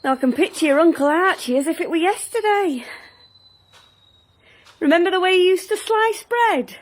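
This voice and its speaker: A woman's voice: very high voice, lively intonation, moderate pace. An actress playing an older, plain-spoken woman in a naturalistic audio drama, wistful and wry, sometimes hesitant. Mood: fond reminiscing.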